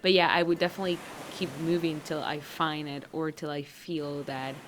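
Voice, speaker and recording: A woman's voice: deep voice, level tone, moderate pace. A woman speaking hesitantly and reflectively, searching for her words. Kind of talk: reflective spoken testimony. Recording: clip with clear background noise.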